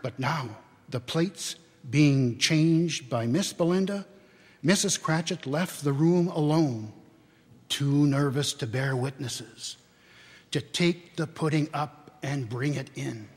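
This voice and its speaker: A man reads a story aloud with theatrical, deliberate phrasing. His voice sits a little high and has a husky edge. The sound rings through a large church hall with long reverb. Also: a little mellow. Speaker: reader at a dramatic reading. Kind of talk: dramatic storybook reading to a live audience. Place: large church, live public reading.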